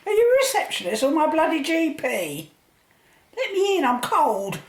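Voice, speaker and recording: Woman acting cranky old British lady, lively. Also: high voice, moderate pace, roomy sound. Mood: indignant. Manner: grumbling, blunt. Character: cranky, complaining little old cosmetics saleswoman. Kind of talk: radio play acting.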